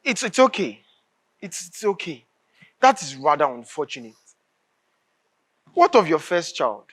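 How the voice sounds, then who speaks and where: A woman acting an earnest political thinker and confidante in a live stage play, speaking deliberately and emphatically. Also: low-pitched, sing-song, fairly bright tone, breathy edge, heavily acted. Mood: consoling.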